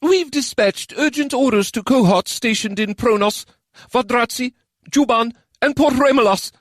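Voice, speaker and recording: A man voicing a nervous male officer who reports bad news too loudly and in a strained way. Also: extremely high pitch, brisk, no echo, clean studio sound, voice pushed up. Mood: uneasy. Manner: loud and strained, avoids the point.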